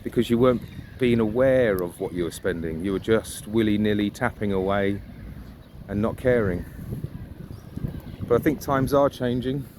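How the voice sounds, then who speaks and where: A man talks in a fairly low voice, recorded outside with light ambient noise around him. He muses casually as he walks, often putting rhetorical questions to the listener, in the manner of an informal vlog. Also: moderate pace. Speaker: vlogger. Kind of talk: casual reflective monologue while walking. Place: outdoors on a riverside walk. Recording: outdoor ambient noise, birds and traffic.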